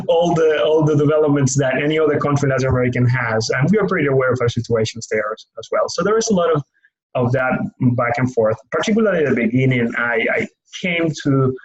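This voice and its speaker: A man's voice: mid-range voice, lo-fi sound. A young man from Cuba with a Cuban Spanish accent, a Spanish native speaker, speaking thoughtfully and searching for words as he reflects on his life in a personal interview. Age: young adult. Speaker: lay pastoral care worker.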